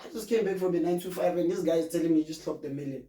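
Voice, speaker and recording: A South African man of about twenty-nine tells his story in a loose, relaxed interview manner, mixing local words into his English. His voice is mellow and sits fairly high. The recording is roomy and echoey, with the mic some way off. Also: racing. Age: 20s. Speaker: guest, brand founder. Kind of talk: casual interview conversation. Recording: roomy, from a distance.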